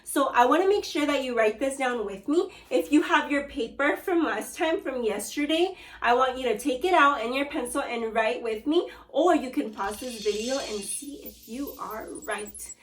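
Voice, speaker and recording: A woman teacher reading a storybook aloud to young children, with animated call-and-response prompts. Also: high voice, normal speed, hardly any pauses, echoey room, slight background noise. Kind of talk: read-aloud lesson for children.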